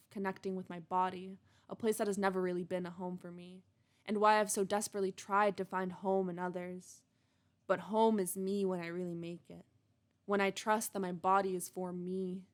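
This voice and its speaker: A woman reading a personal, reflective monologue in an earnest, measured, introspective way. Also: mid-range voice. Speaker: monologue writer and reader.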